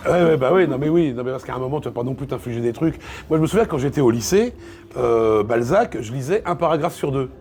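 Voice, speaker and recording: A man's voice: medium pitch, animated, quick pace, a little mellow, slight background noise. A man talking casually as an interview guest, opinionated and colloquial, with emphatic repetitions. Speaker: interview guest, literature video creator.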